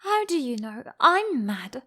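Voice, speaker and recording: Woman doing a polite lil storybook girl, high lilting voice, curious n a bit timid. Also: very animated, unhurried, a bit bright, full character voice. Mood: puzzled, questioning. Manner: polite, earnest, questioning.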